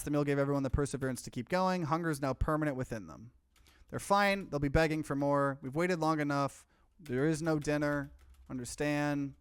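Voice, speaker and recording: A man's voice: a little high, clear, resonant tone, no echo, studio quality. A man doing a let's play, reading visual novel narration aloud and dropping in casual, offhand commentary. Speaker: streamer, let's player.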